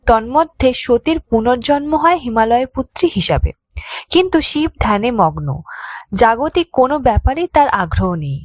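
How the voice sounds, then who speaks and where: A woman's voice: high voice, dry recording. A woman reading a scripted mythological tale in a formal, literary storytelling manner. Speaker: storyteller narrator. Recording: background music under the voice. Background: music.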